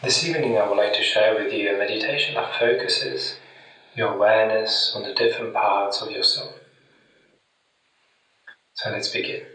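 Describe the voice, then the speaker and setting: A man guiding a meditation in a soothing, deliberate manner with long pauses, speaking gently to the listener. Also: on the low side, steady tone, slight background noise. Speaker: meditation guide. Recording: very echoey room, distant mic.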